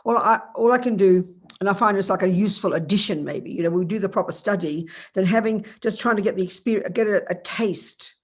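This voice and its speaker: A meditation teacher with a cold, sounding a bit croaky, explaining in a rambling, self-correcting way over a video call. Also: female voice, medium pitch, fairly quick.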